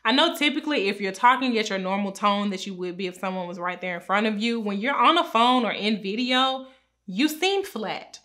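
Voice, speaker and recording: A woman in her thirties with a bright, crisp voice talks straight to the camera from a small room at home. She sounds upbeat and instructive, explaining tips clearly and gesturing as she goes. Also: mid-range voice, animated, a little fast. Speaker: pharmacist giving career advice. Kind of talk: direct-to-camera advice talk. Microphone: camera framed at chest height.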